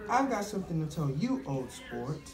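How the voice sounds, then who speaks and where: An earnest, intense romantic rival, voiced by a puppet-show performer, insisting on his claim. The delivery swings widely in pitch. It is recorded in a roomy space with the mic far off. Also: big pitch swings, moderate pace, over music, close to an everyday voice. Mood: insistent. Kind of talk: amateur puppet show reading.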